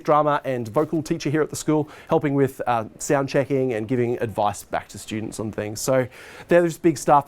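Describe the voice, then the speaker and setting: A man's voice: mid-range voice, darkish tone. A man in his forties with an Australian accent, explaining things informally in a casual behind-the-scenes walkthrough. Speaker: high school arts and IT head of department giving a tour. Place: indoors, school corridor and tech room. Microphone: lapel mic.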